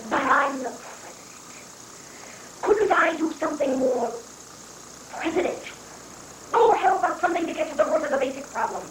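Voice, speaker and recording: Satirical stage president, female reader: high-pitched, folksy, blustering and wavering, theatrical delivery, echoey noisy live recording. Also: animated, moderate pace, long pauses. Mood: doubtful. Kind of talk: dramatic reading of a satirical play. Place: roomy indoor live reading.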